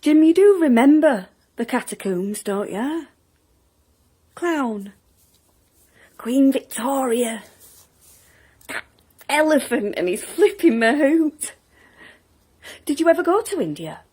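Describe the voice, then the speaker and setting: A woman's voice: high voice, sing-song, slow pace, long pauses, warm tone, full character voice. An actress playing an older, plain-spoken woman in a naturalistic audio drama, wistful and wry, sometimes hesitant.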